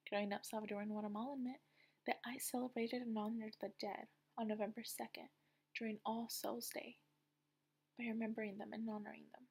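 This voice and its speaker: Young woman, essay reader, soft half-whispered read, fairly high but flat pitch. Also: creaky, husky. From United States. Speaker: narrator of a personal essay. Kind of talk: reading a personal essay aloud.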